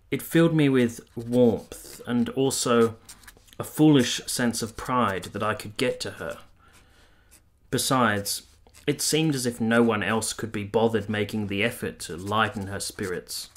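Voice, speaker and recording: A man playing an ardent, self-regarding young army officer, narrating a period radio drama in a formal, measured, literary manner. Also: fairly low pitch, lightly acted. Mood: fond, then earnest. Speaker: narrator and lead character.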